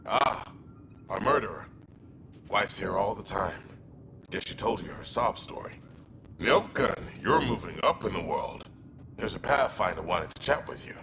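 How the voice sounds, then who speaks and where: An actor playing a scornful prison guard in a sci-fi video game, offhand and sardonic. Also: male voice, fairly low pitch, animated, measured pace, vocal fry, pauses often, noisy background.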